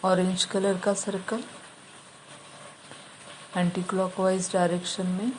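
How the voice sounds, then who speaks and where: A woman leading a guided meditation, giving instructions in short, measured phrases with long pauses between them. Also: lowish voice, little pitch movement, darkish tone, a little hiss or hum, rough recording.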